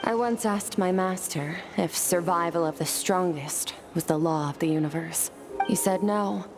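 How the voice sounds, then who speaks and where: A woman playing a reserved, solemn young warrior with quiet resolve in a video game. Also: lowish voice, normal speed, close to an everyday voice. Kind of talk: scripted game dialogue. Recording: loud music under the voice.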